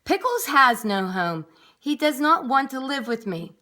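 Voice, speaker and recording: Woman acting a high, emphatic, kindly storybook lady. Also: big pitch swings, unhurried, sharp tone, exaggerated voice. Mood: earnest. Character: kindly, fretful cat-loving woman in a children's storybook.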